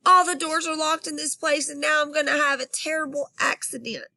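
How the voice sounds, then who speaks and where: A woman's voice: high-pitched, fairly even intonation, brisk, vocal fry, dry recording, voice pushed up, exaggerated voice. A woman doing a frantic little girl's voice. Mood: desperate. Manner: loud, urgent, whiny pleading. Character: feisty, impatient little girl, about six.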